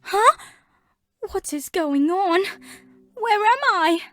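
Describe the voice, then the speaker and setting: A woman's voice: very high-pitched, lively intonation, slow, fairly bright tone, no room sound, studio quality, full character voice. A woman acting feisty teenage heroines in a fan fiction audio drama, spirited and theatrical. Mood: indignant, confused. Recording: faint music behind the voice.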